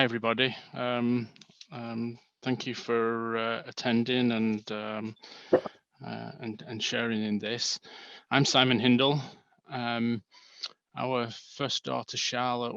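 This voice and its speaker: A man from Manchester, England with a Manchester accent, co-presenting a seminar in a halting way with many fillers and restarts, heard over a video call. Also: medium pitch, a bit bright, smooth, no room sound, dull audio. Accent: Manchester English.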